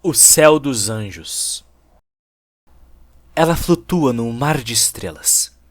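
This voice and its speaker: A man's voice: medium pitch, lively intonation, takes it slowly, dry recording, studio quality. A man giving dramatic scripted narration of a cyberpunk story as its narrator. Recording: music behind the voice.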